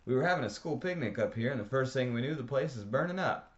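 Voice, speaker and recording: A man voicing a good-natured grown man who talks loud and reassuring, on a dull lo-fi recording. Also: fairly low pitch, expressive, fairly quick, voice pushed up, natural voice. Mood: hearty. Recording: dull lo-fi audio.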